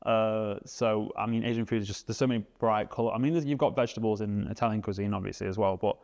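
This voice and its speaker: A man, chatting casually as a guest, relaxed and rambling with lots of fillers. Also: on the low side, fast, creaky. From England. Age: 20s. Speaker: guest, vegan cook. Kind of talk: casual interview chat.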